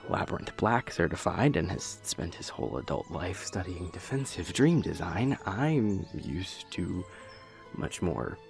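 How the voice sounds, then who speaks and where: A man's voice: deep voice, creaky, full character voice. A young man playing a dorky, earnest boyfriend in an intimate audio roleplay, rambling hesitantly and nerdily with playful self-deprecation. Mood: earnest, fond. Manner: hesitant, rambling, nerdy explaining.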